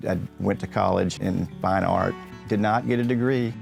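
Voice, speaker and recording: A man's voice: low voice, steady tone, normal speed, vocal fry. A plain-spoken man, an artist talking about his work in a documentary interview. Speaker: artist, illustrator and painter. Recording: music behind the voice. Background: plucked guitar music.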